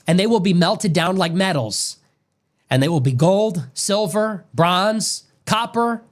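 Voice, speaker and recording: A man's voice: high-pitched, expressive, measured pace. A man giving a grave, preacher-like monologue on death and faith, played back from an original stream. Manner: grave, preacherly cadence with long lists. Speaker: political commentator.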